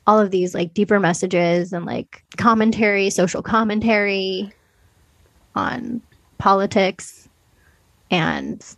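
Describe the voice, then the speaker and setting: A woman's voice: mid-range voice, steady tone, slow pace, vocal fry, a bit bright, dry recording. A woman co-hosting a podcast, discussing films casually in an informal, hedging, conversational way. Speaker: podcast co-host.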